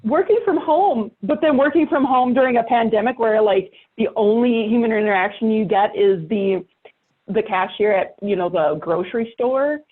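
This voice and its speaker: A woman's voice: on the high side, normal speed, narrow, tinny audio. A woman in casual, self-deprecating conversation. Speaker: podcast participant. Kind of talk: casual chat.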